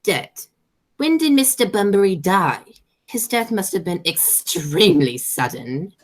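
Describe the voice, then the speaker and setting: A woman's voice: mid-range voice, very animated, a little slow. A woman playing a haughty, stern aristocratic mother and aunt in an amateur radio play, declaiming with snobbish authority. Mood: astonished.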